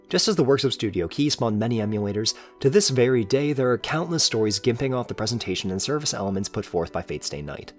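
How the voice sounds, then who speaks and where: A man reading scripted, analytical video essay narration in an earnest, articulate way with formal wording. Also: on the low side. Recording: music behind the voice. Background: background music.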